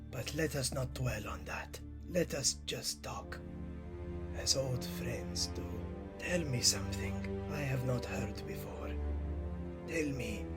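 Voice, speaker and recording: A man playing a frail, dying old Italian master painter in an audio drama, weary, wry and theatrical. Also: mid-range voice. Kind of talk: scripted audio drama dialogue. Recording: music behind the voice.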